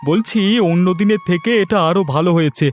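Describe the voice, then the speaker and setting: Man acting high-pitched, affectionate cartoon husband. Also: fast, faint music behind, natural voice. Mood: affectionate, placating. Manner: coaxing, appreciative. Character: affectionate husband coming home, placating his wife. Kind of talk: cartoon moral-story acting.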